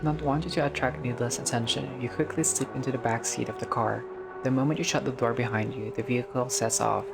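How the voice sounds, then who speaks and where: A man doing a casual game playthrough, reading dialogue aloud and reacting with expressive, slangy asides. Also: medium pitch, a little fast, creaky, loud music behind the voice. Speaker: gaming video player reading dialogue aloud.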